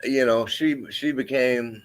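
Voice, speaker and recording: A middle-aged army veteran with a deep, carrying voice tells a personal story in an interview, speaking haltingly with many fillers and restarts. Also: male voice, steady tone.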